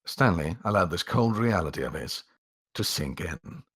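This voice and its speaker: Low-voiced man narrating with dramatic swings. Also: animated, a bit bright, slightly rough. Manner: theatrical, with drawn-out emphasis and dramatic pauses. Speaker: amateur narrator reading a story aloud. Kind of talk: dramatic scripted storytelling narration.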